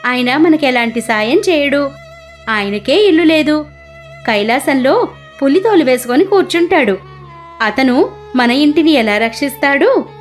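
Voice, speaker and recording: A very high, shrill wife, acted by a woman storyteller, scolding bitterly over music. She is a poor, complaining woman in a cartoon moral tale. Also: animated, unhurried, long pauses.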